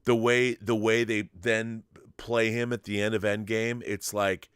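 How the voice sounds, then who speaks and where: A man hosting a casual film discussion, talking in a halting way with repeated and rephrased starts. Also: lowish voice, little pitch movement, fairly bright tone, smooth, no echo, studio quality.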